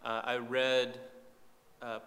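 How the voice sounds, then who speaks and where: A man's voice: medium pitch, monotone, very slow, a bit bright. Man preaching in a long-reverb hall. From eastern Saudi Arabia. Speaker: visiting preacher. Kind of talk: sermon testimony in short phrases for an interpreter.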